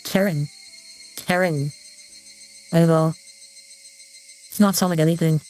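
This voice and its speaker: A woman's voice: low-pitched, fairly even intonation, unhurried, darkish tone, soft background music, low-quality recording. A woman trying out a voice-changer filter of another woman's voice, sounding puzzled as she comments on it. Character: adult woman, processed voice.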